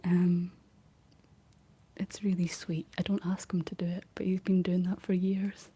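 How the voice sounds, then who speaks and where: A young Scottish woman speaking in a soft, breathy whisper, chatting casually and gently in an ASMR style. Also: lowish voice, level tone, a little slow, dry recording. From Scotland. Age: young adult. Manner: gentle, rambling chat while painting. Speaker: ASMR streamer reading and answering live chat. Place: living room.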